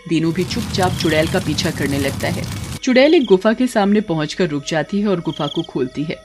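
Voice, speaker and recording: A woman's voice: lowish voice, lively intonation, a little fast, a little mellow. A woman narrator reading a scripted story in a dramatic storytelling style. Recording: music behind the voice.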